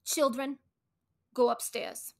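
Woman acting a high-pitched, sarcastic mob wife. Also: fairly even intonation, slow pace, creaky, heavily acted. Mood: scolding. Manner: sarcastic, nagging. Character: sharp-tongued, scornful mob wife and mother. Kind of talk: comedic radio play acting.

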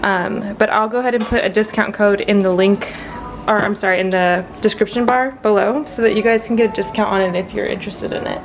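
A woman's voice: mid-range voice, steady tone, a little fast. A young adult woman chatting casually as a fitness vlogger into a handheld camera at home. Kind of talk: casual vlog chat. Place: home kitchen and room indoors. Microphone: handheld camera at arm's length. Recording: clear household background noise.

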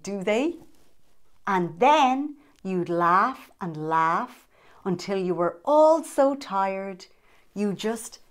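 A woman in her thirties hosting storytime, reading a picture book aloud expressively to young children with dramatic emphasis. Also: medium pitch.

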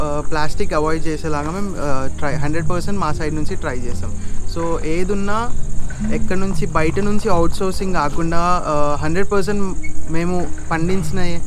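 Young guy walking folks round a campsite, slightly high voice, lots of so uh. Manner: explains plainly, mixes English phrases, frequent "so, uh". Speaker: campsite staff member. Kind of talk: guided tour talk. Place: outdoors at a campsite.

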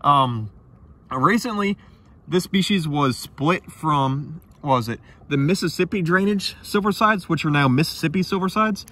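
A young man vlogging outdoors in a tidal marsh at night, talking excitedly and casually about the fish he catches. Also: fairly high pitch, unhurried. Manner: enthusiastic, chatty, slangy. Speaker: micro-fishing vlogger. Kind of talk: casual outdoor vlog narration.

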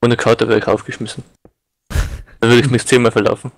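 A man chiming in during a casual gaming chat in a lively way. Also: medium pitch, fairly quick.

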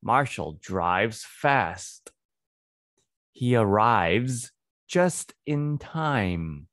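Very slow, low-pitched man teaching reading. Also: expressive, fairly bright tone, no echo. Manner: deliberate, repeats words and sentences. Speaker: English reading teacher for children. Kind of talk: slow read-aloud phonics lesson. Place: small indoor home studio. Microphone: close desk microphone on boom arm.

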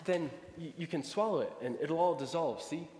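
A man's voice: fairly high pitch, moderate pace, a little mellow, natural voice. A man playing an eager, dreamy, boyish young small-town man who stammers, in a 1940s radio play. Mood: dreamy, excited. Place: large church stage before an audience. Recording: echoey hall from a stage.

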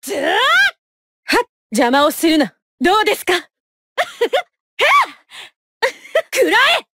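High-voiced, haughty young lady knight. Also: sing-song, measured pace, a bit bright, a little hiss or hum, heavily acted. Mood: fierce, exultant. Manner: formal, polite yet imperious, clipped battle cries. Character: poised, haughty young female knight, coolly ruthless in battle. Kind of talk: Japanese fighting game battle voice lines.

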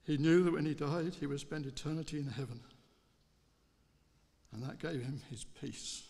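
A middle-aged man reads a eulogy at a church lectern, solemn and measured. His voice sits a little high and has a gravelly creak, with long reverb from the hall around him. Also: a little mellow. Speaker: speaker giving a funeral tribute. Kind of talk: read eulogy. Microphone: microphone on a stand.

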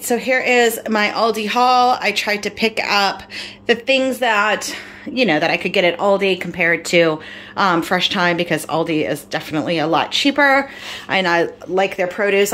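A woman's voice: medium pitch, fairly bright tone. A middle-aged woman vlogger, tired, chatting casually through a grocery haul with lots of fillers. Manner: rambling, filler-heavy, apologetic.

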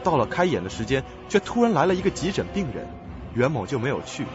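Man playing earnest young investigator, very animated. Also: mid-range voice, quick pace, highs cut off, music in the background, natural voice. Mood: urgent, storytelling. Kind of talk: Chinese suspense radio drama acting.

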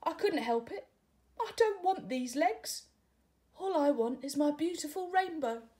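A woman's voice: high-pitched, lively intonation, unhurried, dark tone, pitched above the performer's own voice. A woman voicing a pleading lovesick tadpole boy. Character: lovesick young male tadpole, sweet and pleading.

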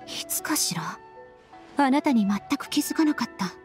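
Soft, wistful spirit woman. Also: high voice, lively intonation, normal speed, faint music behind. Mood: wistful, sorrowful. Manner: soft, polite, hesitant, often slipping into breathy murmur. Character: wistful, gentle, polite spirit woman in human form. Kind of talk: Japanese anime game voice acting.

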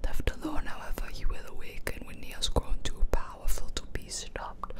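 A woman's voice: moderate pace, close to an everyday voice. Woman whispering as a solemn male ghost. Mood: grave warning. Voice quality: breathy whisper. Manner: grave, formal read-out dialogue. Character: solemn male ghost quest-giver in fantasy game. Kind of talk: reading game dialogue in ASMR whisper.

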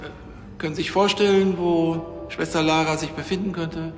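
An actor playing a pompous, halting policeman in a TV crime comedy. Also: a little high, steady tone, soft background music, natural voice. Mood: awkward, formal. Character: pompous, over-zealous Bavarian policeman among nuns. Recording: roomy church with long reverb.

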